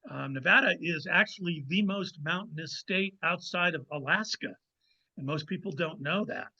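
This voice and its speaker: Bright, higher-pitched man explaining things. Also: moderate pace, lo-fi sound. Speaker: guest novelist. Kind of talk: conversational interview, explanatory.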